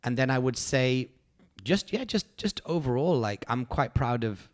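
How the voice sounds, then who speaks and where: A man's voice: medium pitch, lively intonation, a little slow. A man, hosting a podcast and reflecting on his year in a rambling, earnest way full of fillers. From United Kingdom. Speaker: podcast host. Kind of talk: casual reflective chat.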